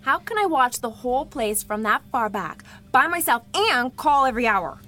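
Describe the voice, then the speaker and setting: Thirties radio drama boy, cocky and eager, very animated, sharp high tone. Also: moderate pace. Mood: insistent. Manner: slangy, defiant. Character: cocky, streetwise young boy spotter, eager and stubborn. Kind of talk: 1930s radio drama acting.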